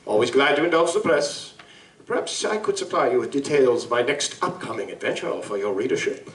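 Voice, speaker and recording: A man's voice: medium pitch, animated, a little fast, fairly bright tone, natural voice. A man playing a pompous, genial English big-game vampire hunter with grandiloquent, genteel speech in a live audio drama. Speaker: stage actor. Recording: roomy live stage, distant mic.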